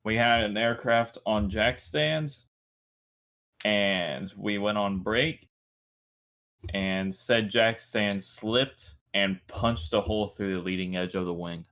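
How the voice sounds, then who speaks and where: Slow, low-voiced man telling dry stories. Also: fairly bright tone. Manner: dry, sarcastic storytelling. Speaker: podcast co-host. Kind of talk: casual chat.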